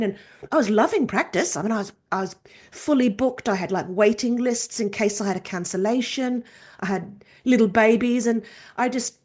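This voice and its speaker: A woman in her fifties from Norway, a chiropractor and researcher telling her story in a casual, animated interview. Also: mid-range voice. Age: 50s. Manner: animated storytelling, acts out quoted voices.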